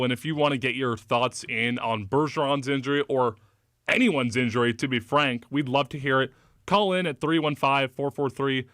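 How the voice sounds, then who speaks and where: A man's voice: medium pitch, fairly bright tone, clean tone, no echo. A man hosting a sports talk radio show, speaking into a studio mic with a polished, emphatic delivery. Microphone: close studio microphone.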